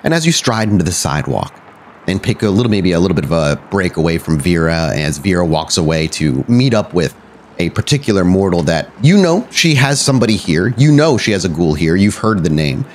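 A man hosting and narrating a tabletop roleplaying game, often halting and correcting himself as he goes. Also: low-pitched, animated, a little hiss or hum. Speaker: podcast host and game storyteller.

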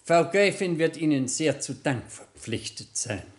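An actor playing a stiff, reverent old servant of a countess in a 1960s radio play, formal, deferential and a little pompous. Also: male voice, a little high, animated, measured pace.

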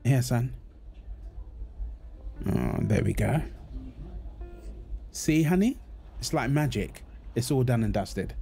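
A man playing a gentle, reassuring young father and husband in an intimate audio roleplay, talking in a soothing, affectionate, casual way. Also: mid-range voice, animated, takes it slowly, vocal fry, long pauses, faint music behind. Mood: reassuring, tender. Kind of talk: intimate comfort roleplay, casual one-sided dialogue.

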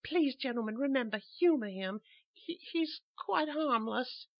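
A meek elderly spinster frets and stammers in a very high, fluttering voice, played by a man in falsetto. She pleads more than she speaks. The sound is dull and lo-fi. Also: lively intonation, measured pace, full character voice. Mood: timid. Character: timid old spinster woman, fretful and meek.

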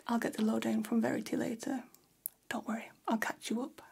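A woman playing a gossipy, flattering vampire hair stylist in a whispered ASMR role-play, speaking in a soft, breathy, conspiratorial hush. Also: fairly high pitch, exaggerated voice. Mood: conspiratorial, intrigued. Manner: slow, hushed, conspiratorial, indulgent. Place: small decorated indoor room.

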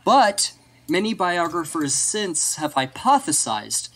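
A man's voice: on the high side, sing-song, takes it slowly, fairly bright tone. Man narrating history on a podcast. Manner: explains from notes, laughs often. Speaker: podcast host presenting history.

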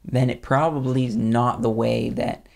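A young man with a slight Southern accent and a gravelly, creaky voice, talking in an easygoing, rambling way on a podcast. He is recorded close on a studio mic in a small room, at an ordinary male pitch. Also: steady tone, a little slow, a little mellow. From Pennsylvania, United States. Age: young adult. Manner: easygoing, rambling, restarts thoughts. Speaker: podcast co-host. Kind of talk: casual chat. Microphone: studio mic on boom arm.

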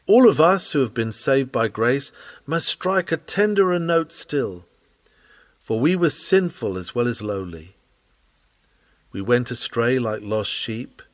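A man reading a scripted sermon aloud in an earnest, measured, expressive preaching manner. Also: medium pitch, dry recording. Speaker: reader of a historical sermon.

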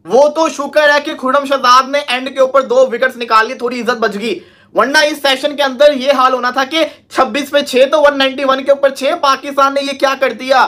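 A man's voice: very high voice, steady tone, brisk, pushed and bright. A man from Pakistan giving scornful cricket analysis and asking rhetorical questions. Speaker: cricket commentator. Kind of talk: cricket analysis talk show.

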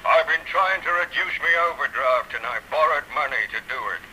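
A noisy vintage radio recording carries a grumpy old Australian farmer. His voice is sharp and forceful, sitting a little high for a man. He is a careworn country father, quick to get irritable, in old radio soap style. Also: expressive. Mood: worried, confiding. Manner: irritable, muttering while adding sums. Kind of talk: 1930s radio serial acting.

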